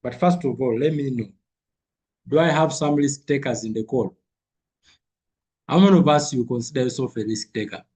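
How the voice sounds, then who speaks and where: Male trading coach, husky with a cold, repeats key points to a call. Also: mid-range voice, normal speed, pauses often, darkish tone. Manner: repeats figures and phrases for emphasis. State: has a cold. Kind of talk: live online trading lesson.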